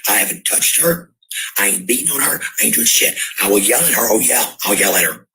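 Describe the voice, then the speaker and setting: A woman's voice: extremely low pitch, lively intonation, brisk, bright, forceful tone, slightly rough, rough recording. A woman arguing and ranting on a home livestream in a blunt, confrontational way, swearing freely. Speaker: livestreamer and parent. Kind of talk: heated argument and ranting to stream viewers.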